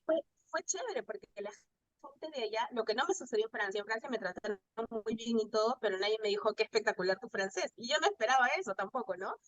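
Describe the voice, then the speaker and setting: A woman's voice: a little high, animated, fast, muffled recording. A woman with a Peruvian Spanish accent talking casually as an interview guest, telling anecdotes in a chatty way and acting out bits of dialogue. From Peru. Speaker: guest, pastry chef. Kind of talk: casual conversational storytelling.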